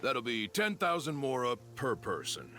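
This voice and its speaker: A pushy, animated huckster. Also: male voice, medium pitch, normal speed, loud music behind the voice. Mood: businesslike, wheedling. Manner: salesmanlike, wheedling. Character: pushy male huckster animal handler, money-minded. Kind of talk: video game voice acting.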